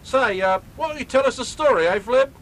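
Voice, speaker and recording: A man's voice: very high-pitched, expressive, a little fast, fairly bright tone, exaggerated voice. A man with a put-on Australian accent playing a laid-back pub mate who chuckles and eggs on his friend's story in a comic radio drama. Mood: easygoing banter. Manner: chuckling, easygoing pub banter.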